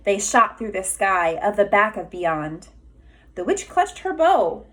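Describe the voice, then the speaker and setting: A woman kindergarten teacher reading a children's storybook aloud expressively, deliberate and emphatic, pausing for questions. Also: medium pitch, sing-song, fairly bright tone.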